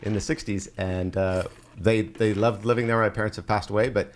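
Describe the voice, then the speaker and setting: A man's voice: fairly low pitch, fairly even intonation, fairly quick. A man born in Scotland and raised in New Mexico, a podcast guest talking easily with many fillers. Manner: easygoing, hesitant with many fillers. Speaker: guest, visitor. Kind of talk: casual interview chat.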